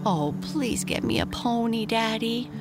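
A woman doing a high, eager little boy. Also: a little slow, loud music behind the voice. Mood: pleading. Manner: high, excited begging. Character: eager pleading little boy, about nine.

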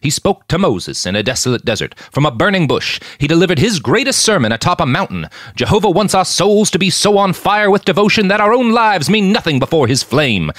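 A man's voice: on the high side, fairly bright tone, dry recording, clean studio sound, pitched above the performer's own voice. A man playing a fervent pastor who preaches martyrdom in an emphatic, exhorting sermon style for a fiction story. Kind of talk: fiery sermon within audiobook narration.

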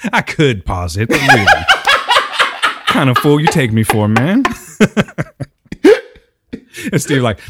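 Lively, joking man co-hosting a podcast. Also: mid-range voice, slow, long pauses, very noisy. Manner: playful, joking, teasing. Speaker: podcast co-host. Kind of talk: casual banter.

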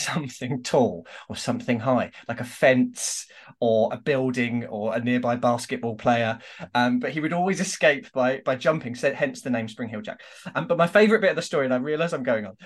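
A British man co-hosting a podcast in casual chat, rambling through a story with self-deprecating humour. His voice is mid-pitched and everyday. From United Kingdom. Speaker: podcast co-host.

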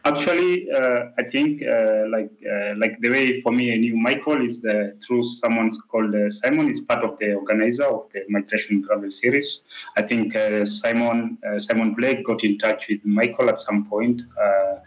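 A man's voice: lowish voice, moderate pace, rough recording. A man with a Kenyan accent, talking casually as a guest in an interview. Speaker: interview guest, professional cyclist.

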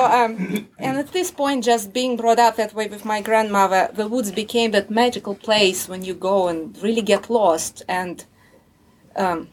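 A woman from Russia with a Russian accent, a native Russian speaker, telling a casual personal story in English, unhurried and fond. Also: fairly high pitch, slight background noise.

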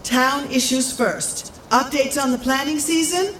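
A woman playing a venerable, firm village matriarch, speaking in formal, measured public address in an audio drama. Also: sits high, a bit bright. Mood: stately, formal. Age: older. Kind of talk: scripted audio drama acting. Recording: echoey, faint background noise.

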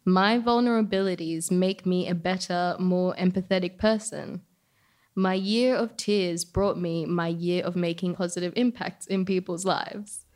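A woman's voice: fairly low pitch, steady tone, unhurried, a little mellow. An Australian woman reading scripted personal stories and radio announcements in an emphatic, conversational way. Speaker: storyteller and radio show host.

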